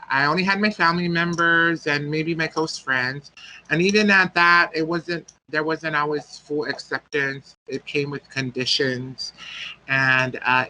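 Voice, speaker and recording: A man's voice: fairly high pitch, sharp tone, dry recording, low-quality recording. A man, telling a personal story as a guest speaker and often searching for words. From American Samoa.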